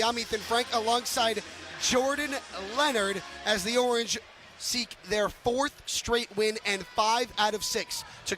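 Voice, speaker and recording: A man's voice: high voice, sharp tone. A man calling live basketball play-by-play for radio with a projected, clipped delivery, arena noise behind him. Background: basketball arena ambience.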